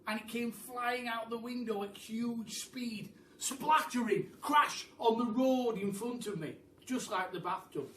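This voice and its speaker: A man reading a children's story aloud as a teacher, theatrically, with dramatic pauses and emphasis. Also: very high voice, a bit bright. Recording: roomy, distant mic with faint background noise.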